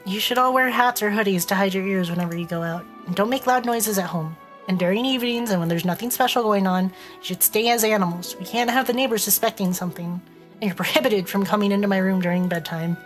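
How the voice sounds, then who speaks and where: A woman's voice: mid-range voice, fast talker, faint music behind. A woman streamer with a stuffy, congested voice, reading a visual novel aloud and breaking off to joke with her chat. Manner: playful, reads dialogue aloud and breaks off to joke.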